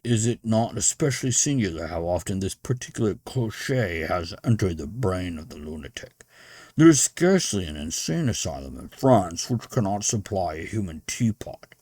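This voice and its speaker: A man voicing a fat little gentleman from a storybook, telling an anecdote in a measured, wry way. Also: low voice, big pitch swings, husky, no room sound, studio quality, heavily acted. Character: fat little gentleman, chatty dinner-table anecdote teller. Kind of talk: storybook character voice.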